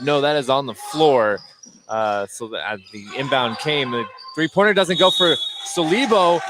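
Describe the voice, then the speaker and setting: A man giving live play-by-play radio commentary on a basketball game. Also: medium pitch. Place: indoor gym at a basketball game. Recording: clear gym noise behind the voice. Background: basketball bounces and gym ambience.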